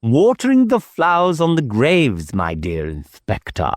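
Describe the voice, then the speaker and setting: A man's voice: mid-range voice, huge pitch swings, a little slow, no room sound, clean studio sound, pitched above the performer's own voice. A man voicing a whimsical, evasive village clergyman with a coy, wordy and mannered way of talking, in an audiobook. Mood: sly politeness.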